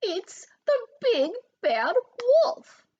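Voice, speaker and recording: A woman voicing an excitable, plucky little male pig from a children's storybook, crying out. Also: extremely high pitch, very slow, lo-fi sound, voice pushed up, exaggerated voice. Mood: alarmed. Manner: crying out in alarm. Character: excitable little male pigs, plucky but easily alarmed. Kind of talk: children's storybook read-aloud.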